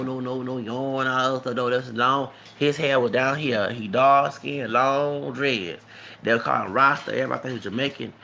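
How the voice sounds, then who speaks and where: A man's voice: mid-range voice, vocal fry, fairly bright tone, slight background noise. A man telling stories in a casual, rambling vlog monologue, often repeating words, tagging lines with "okay?" and slipping into Spanish. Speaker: vlogger.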